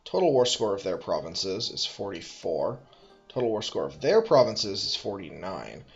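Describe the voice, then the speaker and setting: A man talks through his moves in a strategy game, pausing to think and correcting himself with dry little jokes. His voice sits low but swings up and down a lot. The recording is a bit dull and lo-fi, with soft music underneath. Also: very animated, vocal fry. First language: English. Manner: musing, self-correcting, dry asides. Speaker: let's play host.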